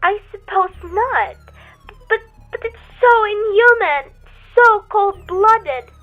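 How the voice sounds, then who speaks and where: An actress playing an anxious, demanding invalid wife in a radio suspense drama, insistent and dramatic, stammering when alarmed. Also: female voice, very high voice, animated, slow pace, soft background music, full character voice. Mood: distressed. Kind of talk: old-time radio suspense drama acting. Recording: tinny, phone-like radio drama audio.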